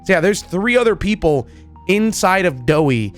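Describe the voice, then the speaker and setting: A man with a slightly high voice talks as a gaming video creator. His commentary is casual and excited, wandering loosely from thought to thought. Music plays faintly behind him. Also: moderate pace. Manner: informal, enthusiastic, rambling.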